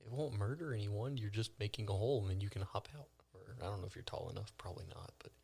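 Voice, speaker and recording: Quick-talking man with a lowish voice. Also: gravelly, a little mellow, husky. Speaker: tabletop game player. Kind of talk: casual in-character game chat.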